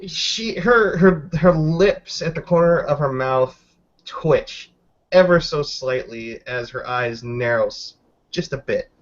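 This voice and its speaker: A tabletop roleplaying player chatting and narrating informally, in halting, improvised phrases. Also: mid-range voice, expressive. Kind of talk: casual tabletop game chat and narration. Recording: slightly dull, lo-fi audio.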